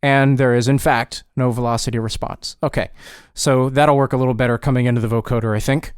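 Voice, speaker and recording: A man narrating a software tutorial step by step in a casual, informal way, with dry wry asides. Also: mid-range voice, steady tone, a little fast, clean tone, soft background music. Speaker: tutorial presenter.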